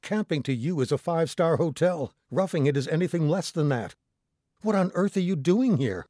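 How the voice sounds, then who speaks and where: A man's voice: fairly high pitch, big pitch swings, measured pace, a little mellow, no echo, studio quality, pitched above the performer's own voice. Man playing a panicked castaway pilot, raised urgent voice. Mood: self-reproach. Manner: urgent, crying out, emphatic. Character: panic-stricken male castaway pilot, desperate and self-berating.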